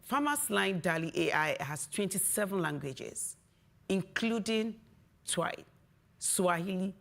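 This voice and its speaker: A woman from Nigeria whose first language is Yoruba, speaking as a panelist and answering slowly, with pauses between phrases. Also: mid-range voice, lively intonation, gravelly, fairly bright tone. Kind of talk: panel discussion.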